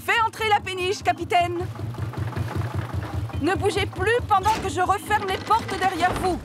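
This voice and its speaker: A woman voicing a calm mother dog who works a canal lock, explaining things patiently in a dubbed cartoon. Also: very high-pitched, unhurried. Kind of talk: cartoon dubbing. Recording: music bed under the dialogue.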